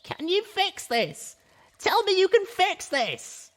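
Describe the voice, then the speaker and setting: A shrill young female pony acted out by a man, frantic and furious. The voice is pitched extremely high and strained with desperation, as a wounded storybook heroine making demands. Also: wildly swooping pitch, takes it slowly, a bit bright, exaggerated voice. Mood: desperate pleading.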